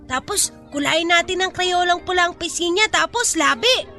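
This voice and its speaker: High-pitched, mocking Filipino child bully. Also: female voice, moderate pace, pushed and bright, faint music behind, exaggerated voice. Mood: cruel teasing. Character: mean, taunting young school bully child. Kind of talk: acted audio drama dialogue.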